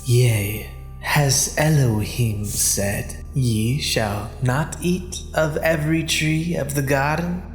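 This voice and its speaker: A man voicing a cunning serpent tempter in a dramatized scripture audio drama, speaking slowly and coaxingly. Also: mid-range voice, reverberant, lightly acted. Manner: slow, drawn-out, coaxing delivery. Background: background music.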